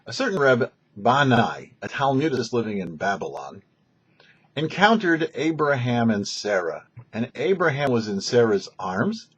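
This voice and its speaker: A man speaking as a rabbi, delivering scripted religious teaching in a deliberate, didactic way. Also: medium pitch, very animated, husky, muffled recording. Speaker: rabbi presenting a weekly Torah show.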